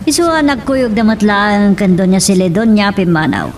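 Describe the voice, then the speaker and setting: Casting: actress as domineering scheming matriarch, mid pitch, off-mic, Ilocano accent, radio drama, noisy ambience. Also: female voice, animated, moderate pace, recorded from a distance, lightly acted. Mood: watchful.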